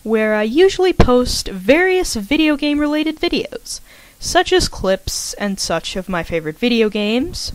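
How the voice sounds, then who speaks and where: A woman speaks as an online channel host in an animated voice that moves freely up and down. She delivers a prepared introduction in an informal, chatty way, with little asides to her listeners. The sound is close and dry. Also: medium pitch, no echo, rough recording. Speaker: host of a gaming channel, fan fiction writer introducing a podfic.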